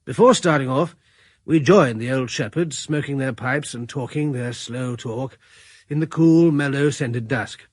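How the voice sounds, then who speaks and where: A man narrating in the first person and playing the lead in a radio drama, with a measured, reflective delivery. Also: mid-range voice, darkish tone, slightly rough, no echo, studio quality.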